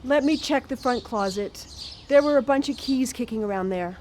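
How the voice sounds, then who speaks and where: Woman acting stern older mother-in-law, fairly high voice. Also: moderate pace, a little mellow, close to an everyday voice. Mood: grudging. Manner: curt, disapproving. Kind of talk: live radio drama acting. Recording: live radio broadcast with background noise.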